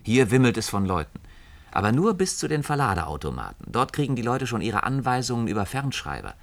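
An actor playing a puzzled, frustrated planning engineer in a science-fiction radio play, talking in a conversational, explanatory way. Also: male voice, mid-range voice, huge pitch swings, a little fast, vocal fry. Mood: brooding, suspicious. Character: puzzled, frustrated male planning engineer doubting his company.

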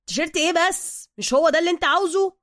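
A woman voicing an excitable, touchy but loyal streetwise man with a slangy Egyptian accent, in an audio story. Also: high voice, rapid-fire, no echo, clean studio sound, pitched above the performer's own voice, lightly acted. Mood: flustered. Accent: Egyptian, colloquial Cairene. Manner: gushing, slangy, defensive.

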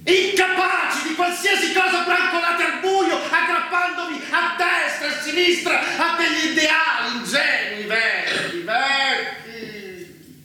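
An actor playing a fanatical, domineering mastermind in a dystopian stage drama, theatrical, moving between taunting softness and shouting. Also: male voice, extremely high pitch, exaggerated voice. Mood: furious, commanding. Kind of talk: intense Italian stage drama acting. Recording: roomy, distant stage recording, faint noise.

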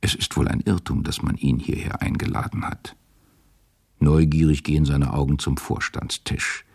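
A man narrating a literary radio drama in a measured, sober manner. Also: extremely low pitch, level tone, creaky, a little mellow, breathy edge.

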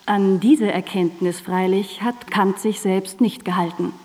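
A woman's voice: mid-range voice, a little slow, darkish tone, husky, big-room sound. A woman reads scripted narration for a radio drama in a composed, formal and precise manner.

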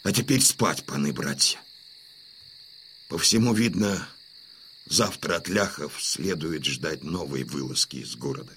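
An actor playing a proud, stern old Cossack chieftain in a Russian audio drama, declaiming emphatically with weighty pauses. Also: male voice, extremely low pitch, bright, forceful tone, slight background noise, full character voice. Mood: calm, weary.

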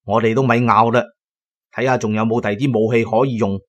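Male storyteller, mid-pitched and expressive. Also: a little fast, highs cut off. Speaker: storyteller, narrator. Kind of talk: dramatic first-person audio drama narration.

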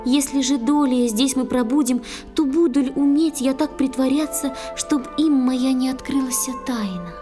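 A woman playing a gentle, devoted young water nymph bride, reciting tenderly in a poetic audio play for children. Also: sits high, moderate pace, few pauses, music in the background, lightly acted. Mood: pleading, submissive.

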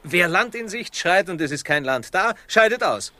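A man acting a wily, excitable ship's admiral in a comic radio play, theatrical and placating. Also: sits high, normal speed. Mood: coaxing. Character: Admiral Christopher Columbus, male, wily, placating, excitable leader.

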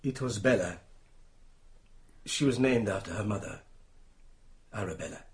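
A devoted husband and doctor in a radio drama. His low voice is thick with huskiness. He speaks formally, in a gentle, subdued and sorrowful way. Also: moderate pace, slightly rough. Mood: guarded, stunned.

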